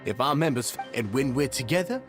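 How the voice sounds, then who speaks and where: An actor playing a deferential, idealistic society leader in a video game, courteous, flattering and earnest. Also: male voice, mid-range voice, a little fast, over loud music, lightly acted. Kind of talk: video game character acting.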